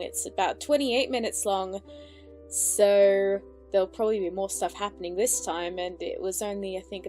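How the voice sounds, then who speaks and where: A woman's voice: fairly high pitch, darkish tone. Woman doing casual reaction commentary over music. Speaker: online reaction host.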